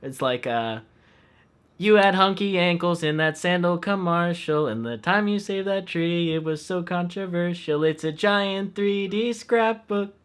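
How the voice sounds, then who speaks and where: A man's voice: sits high, lively intonation, unhurried, a bit bright, clear, resonant tone. A man hosting a casual live stream interview in a friendly way, reading out viewer questions. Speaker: host.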